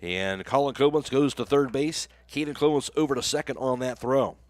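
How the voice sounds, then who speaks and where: A man's voice: mid-range voice, moderate pace, dry recording. An American man calling a baseball game live as a radio play-by-play announcer, in clipped phrases that follow the action.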